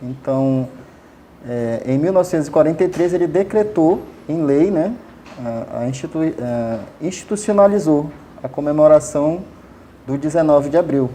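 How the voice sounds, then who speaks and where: A man's voice: fairly high pitch, normal speed, dark tone, slight background noise. Hesitant man. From Amazonas, Brazil. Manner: hesitant, many fillers and restarts, frequent tag questions. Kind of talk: casual explanatory interview answers.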